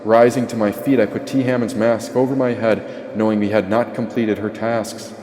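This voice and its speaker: Low, mellow man narrating in deep reverb. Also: steady tone, moderate pace. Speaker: audiobook author and narrator. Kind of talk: dramatic first-person story narration. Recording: echoey, long reverb tail.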